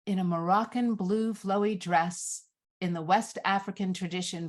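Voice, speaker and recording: A woman reading stage directions as narrator at a live screenplay reading, in a measured, descriptive manner. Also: on the low side.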